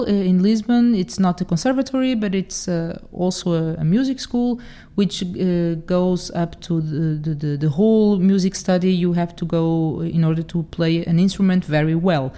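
A woman in her forties, from the Dominican Republic and Portugal, telling her story as a podcast guest with many pauses and restarts. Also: fairly low pitch, warm tone. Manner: hesitant, many fillers and restarts. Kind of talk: casual interview talk.

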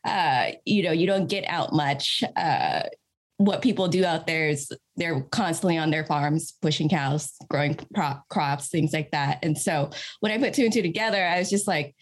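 Female guest, lowish voice, cheerful and animated storyteller. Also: moderate pace. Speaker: guest, cannabis advocate. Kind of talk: chatty interview storytelling.

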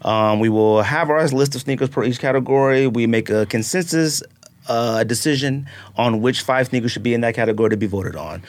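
A man's voice: medium pitch, brisk, no room sound. A man hosting a sneaker podcast, talking casually and joking back and forth with his co-hosts. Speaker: podcast host. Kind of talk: casual sports and sneaker chat.

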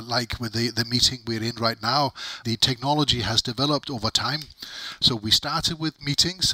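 A middle-aged man with a very bright, sharp voice, captured dry and close. He is a non-native English speaker giving conversational interview answers. He talks emphatically and at length, repeating words to drive a point home. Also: mid-range voice, no room sound, rough recording. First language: not English. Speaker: interviewee, technical documentation expert.

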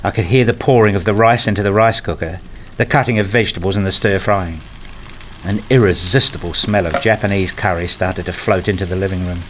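A man reading a scripted first-person story as narrator, in a measured, introspective manner. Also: low-pitched, a little hiss or hum.

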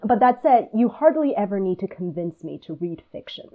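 A woman from Taiwan hosting a podcast, talking in a thoughtful, measured way. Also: medium pitch, very animated. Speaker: podcast host. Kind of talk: casual conversation. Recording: tinny phone-like line.

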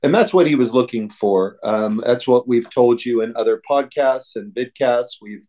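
An American man co-hosting a religious podcast, teaching in a deliberate, halting way. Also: medium pitch, dark tone.

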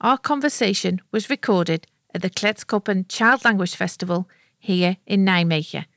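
A woman's voice: mid-range voice, normal speed, fairly bright tone, no echo, clean studio sound. A woman hosting a podcast about bilingual children, reading a short intro and chatting with casual "you know"s. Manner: conversational, fillers like "you know". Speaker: podcast host. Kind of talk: scripted podcast intro and conversation.

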